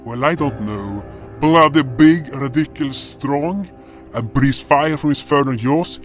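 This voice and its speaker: A man's voice: mid-range voice, unhurried, faint music behind, close to an everyday voice. An actor playing an indignant, impulsive frost guardian beast, telling his fantasy tale in a dramatic, grumbling manner. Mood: urgent. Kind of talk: first-person fantasy story narration and dialogue.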